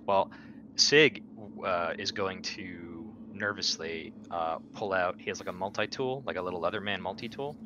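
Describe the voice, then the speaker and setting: A man's voice: low voice, normal speed. A man chatting casually as a player in a tabletop roleplaying game. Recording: dull audio with clear background noise.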